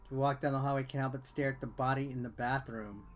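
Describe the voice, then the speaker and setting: A man's voice: medium pitch, steady tone, quick pace. A man reading visual novel text aloud as a game player, with offhand, wry asides. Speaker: video game reviewer and let's player. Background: game music.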